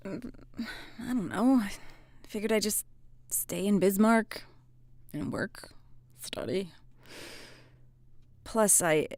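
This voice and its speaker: A woman playing a guarded, rueful policewoman in her thirties in an audio drama, with a hesitant, halting delivery. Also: medium pitch, expressive, a little mellow, no room sound. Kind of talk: naturalistic audio drama acting.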